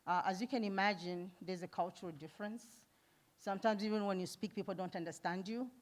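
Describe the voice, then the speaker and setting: A middle-aged woman from Zambia, a nurse giving a conference talk, earnest and warm, laughing often and pausing with fillers. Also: medium pitch, steady tone. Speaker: nurse and foundation founder speaking at an event. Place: event hall.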